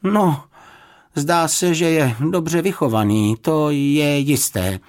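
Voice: mid-range voice, darkish tone, voice pushed up, natural voice. A storybook reader voicing a stout, motherly older housekeeper who is brusque, fussy and exclamatory. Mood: doubtful. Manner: exclamatory, doubtful, scolding but kindly.

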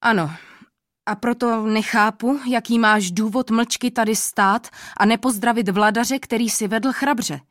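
A woman voicing a proud, stern male king who is quick to anger, in a storybook reading with a dry, close sound. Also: medium pitch, no echo, lightly acted. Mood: uneasy. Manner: commanding, loud outbursts.

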